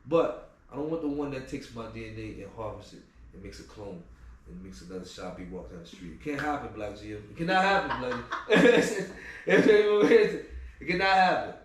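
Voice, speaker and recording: A man from Liberia hosting a casual interview, animated and talking over his guest. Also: medium pitch, fairly quick, very echoey, distant mic, a little hiss or hum. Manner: animated, talks over the guest, repeats himself. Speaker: host.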